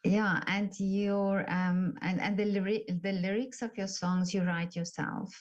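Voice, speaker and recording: A woman with a mid-range voice interviews a guest, warmly complimentary and full of fillers as she talks. Her audio is dull and lo-fi, as over a video call. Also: mid-range voice, fairly even intonation, measured pace. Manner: effusive and complimentary, with many fillers.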